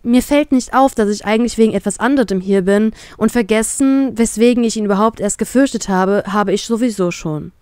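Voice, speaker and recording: A woman's voice: mid-range voice, a little fast, few pauses, a little mellow, smooth, no echo. A woman narrating a scripted first-person story as an audiobook. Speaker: narrator of a fan fiction story.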